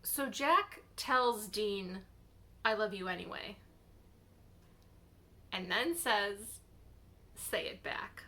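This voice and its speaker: A woman talking casually in a reaction vlog, with a halting, expressive fangirl delivery and dramatic pauses. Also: mid-range voice. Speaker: vlogger sharing reactions to fan fiction.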